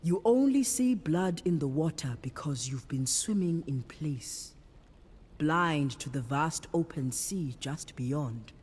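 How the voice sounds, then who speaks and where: A woman playing a wise, commanding priestess in a fantasy game, speaking in a solemn, measured way. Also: deep voice, expressive, a little mellow, breathy edge, full character voice. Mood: solemn, assured. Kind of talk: cinematic fantasy game acting. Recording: long hall-like reverb.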